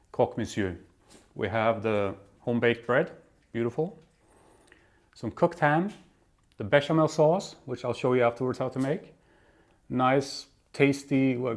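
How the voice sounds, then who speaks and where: A man from Belgium hosting his own cooking show, walking through a recipe in a relaxed, step-by-step way in a home kitchen. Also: medium pitch. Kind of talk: casual cooking demonstration.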